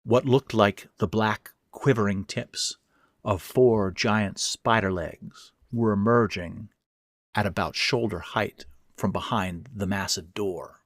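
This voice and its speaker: A man's voice: fairly low pitch, slow, dry recording, clean studio sound. A man reading his own first-person horror fiction as narrator, in a dramatic, suspenseful way. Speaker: author and narrator.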